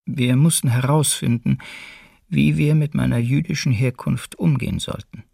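A man's voice: mid-range voice, fairly even intonation, normal speed, vocal fry, warm tone, slightly rough, no room sound, clean studio sound. An actor playing a troubled man in hiding in a documentary radio play, reading his memoir soberly and reflectively.

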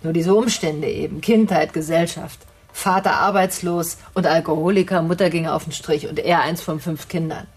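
An actress playing an educated, sharp-tongued older woman in a radio drama, sarcastic, condescending and articulate. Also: fairly low pitch, steady tone, normal speed, breathy edge. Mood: scornful. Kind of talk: naturalistic radio drama acting.

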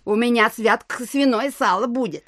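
A woman's voice: a little high, normal speed, a bit bright, no room sound, clean studio sound. An actress playing a fussy, thrifty old country landlady in an audio play, with homely, fretful speech. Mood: wheedling, wary.

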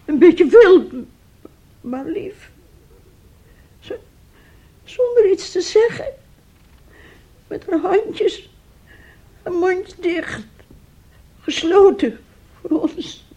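An actress in a radio drama playing a distraught, tearful mother abandoned by her daughter, speaking haltingly and repeating her words. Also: extremely high pitch, expressive, warm tone, heavily acted. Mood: grieving.

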